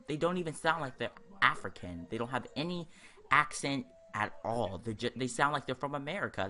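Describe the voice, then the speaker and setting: A man's voice: mid-range voice, sharp tone. A man giving casual, rambling let's-play commentary, sharing his opinions as he goes. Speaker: host of a gaming video series.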